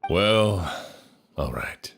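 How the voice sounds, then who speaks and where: Tired fair sheriff, real low voice, sighs a lot. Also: male voice, animated, drawn-out words, vocal fry, fairly bright tone, husky, heavily acted. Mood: decisive. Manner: weary, sighing. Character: weary, fair-minded male sheriff.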